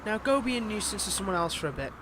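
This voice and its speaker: A man's voice: sits high, sing-song, very fast, background noise. A man playing a smug, possessive fantasy villain in a roleplay audio drama, with a taunting, theatrical manner. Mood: smug, threatening.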